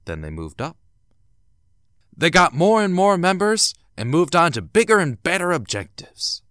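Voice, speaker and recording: Guy narrating a radio drama, super theatrical, pitch all over the place, dry sound with some music. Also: a bit bright, no room sound. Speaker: narrator and announcer. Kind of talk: scripted audio drama narration.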